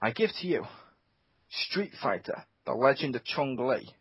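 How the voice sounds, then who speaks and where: A man's voice: mid-range voice, sing-song, a little slow, a bit bright, breathy edge, dry recording. A man hosting a podcast, chatting in a rambling, jokey way. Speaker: podcast host. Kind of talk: casual chat. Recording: dull lo-fi dry sound.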